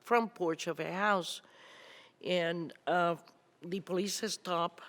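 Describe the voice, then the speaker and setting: An older woman with a Cuban Spanish accent recalls her own life for an interviewer. She speaks in an earnest, measured way, stopping often to find her words. A long reverberant tail trails each phrase. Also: lowish voice, fairly even intonation, fairly bright tone. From Cuba. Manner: earnest, measured storytelling with pauses. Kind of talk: recounting personal memories in an interview.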